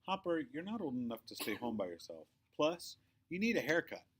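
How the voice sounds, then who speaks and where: A man's voice: fairly high pitch, sing-song, a little fast, a bit bright, husky, pitched above the performer's own voice, full character voice. A man voicing a stern, exasperated mother in a children's storybook, firm and admonishing with her young son. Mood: brisk, firm.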